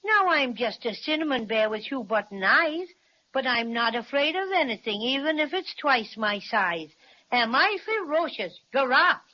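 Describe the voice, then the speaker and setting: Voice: high-pitched, very animated, normal speed. An actor voicing a plucky, bumbling talking stuffed bear with button eyes in a 1930s radio serial, folksy and brave-sounding. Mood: boastful. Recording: dull lo-fi 1930s radio transcription.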